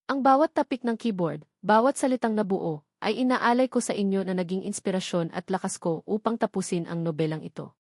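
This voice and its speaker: A woman reading a scripted first-person love story as narrator, with sentimental, measured phrasing. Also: mid-range voice, a little mellow, no echo, studio quality.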